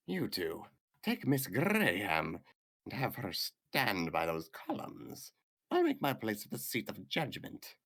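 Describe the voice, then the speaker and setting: An actor playing a small, smug, theatrical aristocratic villain in a visual novel game, with mocking, drawn-out formal speech. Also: male voice, sits low, creaky, fairly bright tone, exaggerated voice. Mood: smug, commanding.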